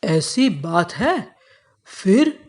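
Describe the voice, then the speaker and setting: Man playing a slow, stately emperor. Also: high voice, big pitch swings, heavily acted. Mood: stately, questioning. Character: authoritative, fair-minded male emperor Akbar. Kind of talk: Hindi children's moral cartoon dubbing.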